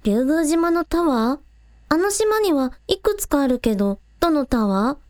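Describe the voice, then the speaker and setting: A woman's voice: high voice, sing-song, moderate pace, a little mellow. A woman playing a plucky, practical young village woman in an amateur radio drama, talking casually and matter-of-factly. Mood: inquisitive.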